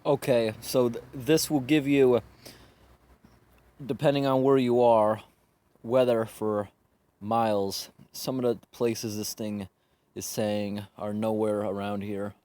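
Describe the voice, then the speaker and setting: A man's voice: lowish voice, flat delivery, slow, dark tone. A man casually demonstrating a product in a plain, informal, explaining way. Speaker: gadget reviewer. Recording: noisy handheld amateur recording.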